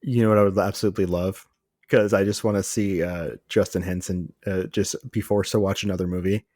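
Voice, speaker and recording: A man's voice: low voice, steady tone, a little fast, darkish tone, no room sound, clean studio sound. A man co-hosting a podcast, chatting casually about entertainment in a jokey, exclaiming storyteller's way. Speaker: podcast co-host. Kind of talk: casual film and TV news chat.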